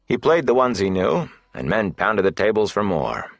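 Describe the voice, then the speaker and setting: A man narrating a fantasy audiobook, telling the story in a measured, deliberate, dramatic way. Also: lowish voice, big pitch swings, creaky, dry recording.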